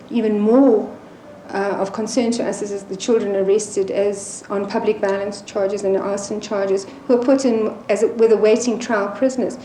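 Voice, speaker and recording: A woman's voice: mid-range voice, mellow. A woman speaking earnestly and articulately as an interviewed campaigner. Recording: roomy, noisy location interview.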